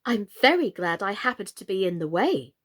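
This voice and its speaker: A woman's voice: medium pitch, very animated, normal speed, a bit bright, husky. A woman voicing a polite, sensible, slightly timid young storybook girl in a civil, earnest way.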